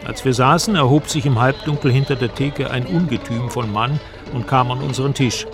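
A male traveller played by an actor narrates his expedition in a radio play. The voice is medium in pitch and factual, set over clear music. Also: fairly quick, natural voice. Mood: observant, measured. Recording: music behind the voice.

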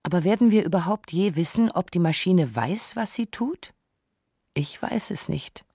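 A woman reading a scripted radio documentary narration in a measured, reflective way. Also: lowish voice, expressive, mellow, slightly rough, no room sound, clean studio sound.

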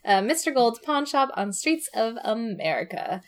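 A woman's voice: on the high side, very animated, normal speed. A woman co-hosting a podcast, chatting casually and cheerfully with frequent laughter. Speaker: podcast co-host. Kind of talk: casual chat.

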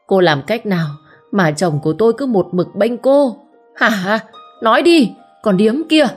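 A woman voicing a jealous village wife who scolds loudly and plays to the crowd. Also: unhurried, soft background music, close to an everyday voice. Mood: scolding. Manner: shrill, scolding, playing to the crowd.

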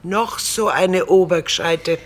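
A woman's voice: on the low side, slow pace. An actress playing a grumpy, foul-mouthed, defiant older local policewoman in a radio drama, grumbling and sarcastic.

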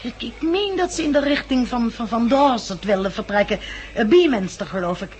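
A woman's voice: a little high, lively intonation, normal speed, darkish tone, close to an everyday voice. A woman playing an evasive, busy survivor in a 1960s radio drama. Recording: hissy 1960s radio recording.

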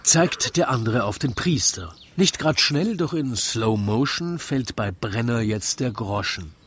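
A man's voice: medium pitch, expressive, a little slow, a bit bright, slight background noise. A man with an Austrian accent narrates a radio play in scripted rhyming verse, in a wry storytelling manner.

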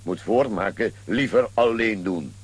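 Deep, very rough strongman. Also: a little slow. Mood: hurried, gruff. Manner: terse, clipped. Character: hurried, super-strong gruff strongman. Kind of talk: children's audio drama acting.

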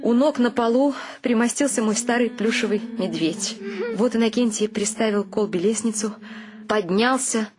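A woman's voice: fairly high pitch, moderate pace, a crowd in the background, lightly acted. An actress playing a wry woman narrator who tells her eccentric family's story in a radio play. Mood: wry, dreamy, then hushed wonder.

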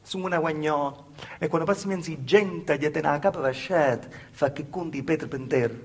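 A Calabrian peasant woman tells of her hard past in a theatrical monologue. The voice is husky and slightly high, with thick southern dialect. The delivery is halting and plaintive. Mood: confiding, plaintive.

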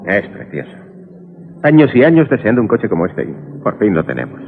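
A man's voice: lowish voice, huge pitch swings, a little fast, gravelly, exaggerated voice. An actor playing an enthusiastic, jovial family father with a Castilian accent in a Spanish radio drama. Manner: exuberant, affectionate teasing. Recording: phone-like, faintly noisy 1980s radio recording.

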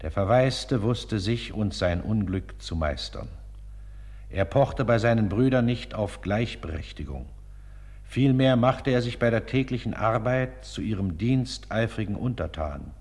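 A man narrating a scripted religious life story in a solemn, measured manner, with long reverb around him. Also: on the low side, lively intonation, darkish tone. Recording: long reverberant hall sound.

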